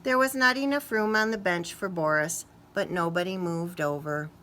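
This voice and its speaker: A woman reading a picture book aloud to young children expressively, pausing to ask them questions. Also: fairly low pitch, fairly bright tone. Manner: talks to young listeners, asking questions. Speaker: children's story reader.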